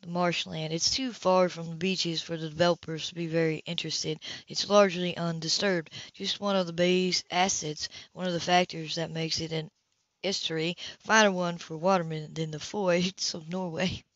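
A woman voicing an easygoing, flirtatious male sailor in an audiobook, relaxed and teasing. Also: fairly low pitch, moderate pace, no echo, close to an everyday voice. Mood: calm, explaining. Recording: dull, lo-fi audio.

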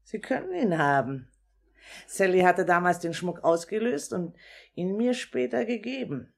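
An actress playing a shrewd, grasping wife in a 1950s German radio drama, measured, firm and sly. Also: female voice, on the low side, animated, gravelly, heavily acted. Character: shrewd, grasping, businesslike married woman.

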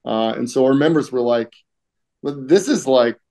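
A middle-aged man talking casually as a guest on a video-call interview, with many fillers and frequent throat-clearing. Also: medium pitch, expressive, moderate pace, mellow. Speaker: union political director, guest. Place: home room, indoors.

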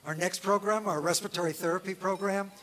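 A man's voice: sits high, fairly even intonation, quick pace, noisy background. A man acting as emcee, making formal introductions at a commencement ceremony. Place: outdoor college commencement ceremony.

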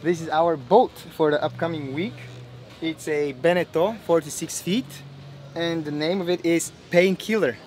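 A man's voice: a little high, normal speed, a little mellow, soft background music. A young man showing friends around a sailboat in a plain, matter-of-fact way. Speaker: trip organizer, skipper. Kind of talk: casual guided tour.

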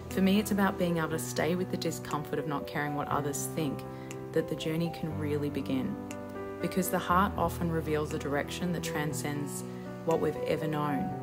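A young woman reading an earnest, introspective voice-over for her vlog. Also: sits low. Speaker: vlogger. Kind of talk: reflective scripted voice-over. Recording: voice-over with loud music.

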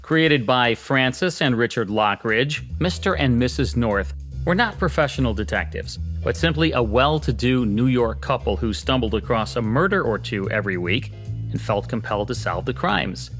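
An American man hosts a podcast with scripted narration. His pitch is middling, and soft music sits faintly behind him. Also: expressive, moderate pace. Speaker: podcast host.

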